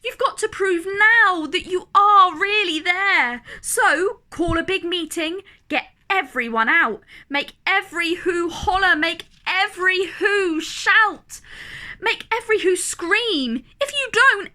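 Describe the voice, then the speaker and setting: A woman's voice: very high-pitched, takes it slowly, pushed and bright, voice pushed up, exaggerated voice. A woman voicing a big-hearted male elephant from a storybook, pleading earnestly and emphatically. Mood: urgent pleading.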